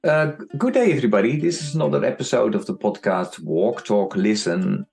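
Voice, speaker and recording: A man's voice: on the low side, lively intonation, fairly quick, gravelly, a little mellow, soft background music. A man hosting a podcast, asking eager questions with frequent stammered restarts. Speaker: podcast host. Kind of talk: casual interview.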